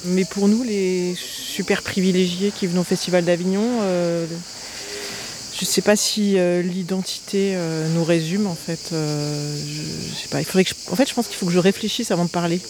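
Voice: mid-range voice, steady tone, normal speed, noisy background. Passant hésitant, rue bruyante. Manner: hesitant, thinking aloud. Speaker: passer-by in street interview. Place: outdoors on a festival street.

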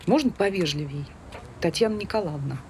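A woman's voice: on the low side, lively intonation, a little fast, darkish tone. An actress playing a prim, opinionated woman who speaks in an indignant, formal, lecturing manner in a stage drama. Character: prim, opinionated, scolding female dog owner. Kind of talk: naturalistic stage dialogue. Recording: noisy ambient background with street sounds.

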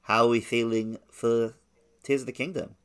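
A man's voice: mid-range voice, steady tone, moderate pace, fairly bright tone, smooth. A man co-hosting a podcast, talking in a casual, conversational style. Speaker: podcast co-host. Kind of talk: casual chat.

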